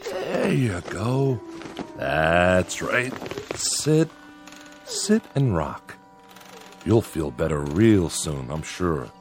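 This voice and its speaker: A man's voice: low voice, a little mellow, full character voice. An actor playing a nervous, falsely cheerful father in a radio drama, soothing and placating as he talks. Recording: music under the voice.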